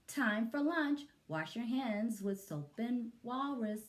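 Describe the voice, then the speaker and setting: A woman's voice: fairly high pitch, expressive, slow, pitched above the performer's own voice. A woman voicing a silly, cheerful female schoolteacher from a storybook, calling out singsong announcements to her class. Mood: cheerful announcing.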